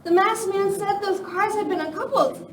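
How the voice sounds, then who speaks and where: A woman's voice: very high-pitched, moderate pace, distant mic. A woman playing a puzzled but resolute western daughter under siege in an amateur radio play. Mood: puzzled, urgent. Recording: echoey hall, loud crowd and noise.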